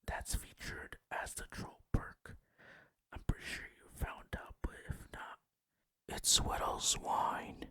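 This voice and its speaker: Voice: extremely high pitch, a bit bright. A gaming video host narrating in ASMR style, whispering throughout. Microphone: close, dry microphone.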